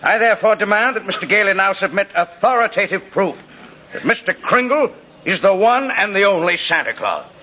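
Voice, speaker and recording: An actor playing a formal, exasperated district attorney, argumentative and declamatory, in a 1950s radio drama. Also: male voice, high-pitched, animated, fairly quick. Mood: indignant, formal. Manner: forceful, declamatory. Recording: muffled, noisy vintage radio recording.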